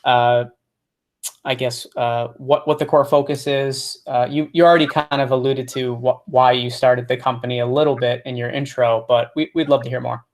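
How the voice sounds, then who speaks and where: A man's voice: mid-range voice, fairly even intonation, moderate pace. A man hosting a podcast interview over a video call, talking casually with many restarts and fillers. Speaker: podcast host.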